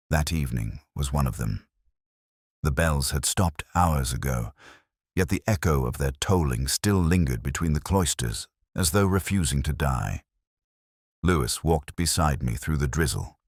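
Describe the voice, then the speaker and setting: A man narrating a first-person detective story in a solemn, measured, literary manner. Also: a bass voice, slightly rough, no room sound, studio quality.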